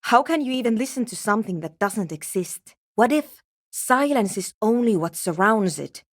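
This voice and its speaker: A woman's voice: mid-range voice, expressive, normal speed, no echo, clean studio sound, lightly acted. An actress playing a weary, obsessive and prickly woman programmer in a video game, with a terse, precise way of talking. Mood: focused, pensive. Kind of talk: dramatic game dialogue.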